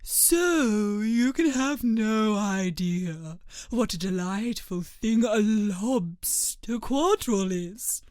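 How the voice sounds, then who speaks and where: A woman voicing a melodramatic, sentimental old turtle creature from a storybook in a slow, drawn-out, swooping delivery. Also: mid-range voice, animated, a little mellow, no echo, studio quality. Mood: wistful. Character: melodramatic, sentimental old Mock Turtle, excitable then mournful.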